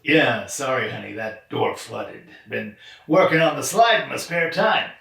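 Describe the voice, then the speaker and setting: A male voice actor playing a homely, affectionate family handyman with a creaky voice in an audio drama. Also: mid-range voice, big pitch swings, measured pace, noisy background, heavily acted. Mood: apologetic, chatty. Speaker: voice actor recording lines. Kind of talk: audio drama line readings. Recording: echoey room, distant from mic.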